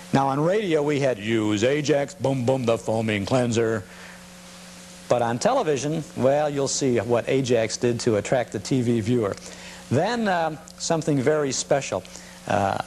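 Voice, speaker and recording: A man hosting a nostalgia program, giving halting, conversational commentary and reminiscing about vintage commercials. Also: medium pitch, darkish tone, slight background noise.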